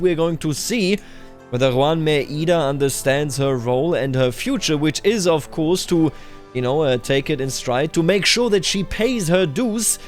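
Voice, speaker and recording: A man with a somewhat high voice gives roleplay commentary on a game over faint music. He phrases things deliberately and repeats for emphasis. His voice sounds a little tender and strained. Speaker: gaming video host.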